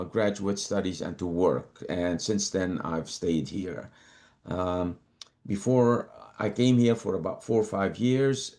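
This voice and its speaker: Older male guest from Lebanon, low-pitched, measured, repeats words as he thinks. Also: darkish tone. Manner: measured, repeats words while gathering thoughts. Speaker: guest, company co-founder. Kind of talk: interview conversation.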